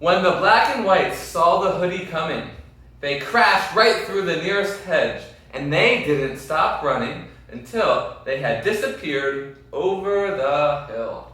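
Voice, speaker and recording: A man reading a children's story aloud expressively, with deliberate, dramatic phrasing and questions to his listeners. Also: fairly high pitch, animated, sharp tone. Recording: very echoey room, distant mic.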